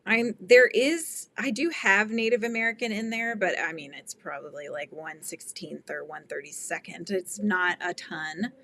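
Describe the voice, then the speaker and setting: A woman's voice: medium pitch, moderate pace, hardly any pauses, sharp tone, slight background noise. A middle-aged woman hosting a casual crochet livestream, chatting and laughing as she reads viewer comments. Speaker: livestream host. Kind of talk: casual chat while crocheting.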